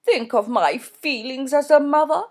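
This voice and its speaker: A woman voicing a fussy, emphatic middle-aged mother with insistent, high-pitched exclamations. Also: unhurried. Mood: gushing.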